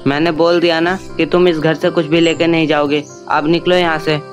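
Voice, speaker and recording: A man playing a greedy, bullying middle-aged moneylender in an animated story, harsh and demanding. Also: fairly high pitch, fairly quick, close to an everyday voice. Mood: harsh, gloating. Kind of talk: Hindi animated story dialogue. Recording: music under the voice.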